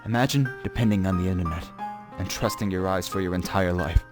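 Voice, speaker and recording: Haunted young man for horror diary reading, male actor: low pitch, frightened, paranoid, dramatic, over faint music. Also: fairly quick, natural voice. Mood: spiralling paranoia.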